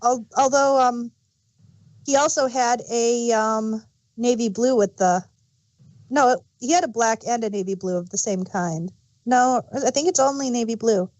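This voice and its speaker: A woman's voice: on the high side, measured pace, darkish tone, no echo. Woman chatting casually in a dry-sounding space. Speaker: podcast host. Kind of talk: casual chat.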